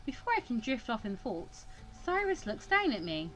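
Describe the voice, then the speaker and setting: Animated woman hosting a visual novel playthrough. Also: on the high side, normal speed, soft background music, low-quality recording. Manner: stumbles over words and self-corrects. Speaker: gaming video host. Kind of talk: casual let's play, reading a visual novel aloud.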